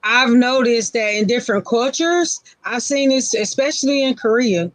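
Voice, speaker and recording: A woman's voice: a little high, moderate pace, dry recording. A woman in her forties speaking frankly on a casual live-stream panel, in short, repeated phrases. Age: 40s.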